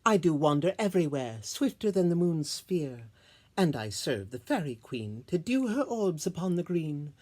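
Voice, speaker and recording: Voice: medium pitch, sing-song, normal speed, darkish tone. A solo reader voicing a nimble fairy servant in lilting, theatrical Shakespearean verse. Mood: airy, lively.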